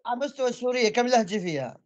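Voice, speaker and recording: A man's voice: very high voice, lively intonation, rapid-fire, a little mellow, dull audio. A man in a religious debate, asking pointed questions and explaining patiently.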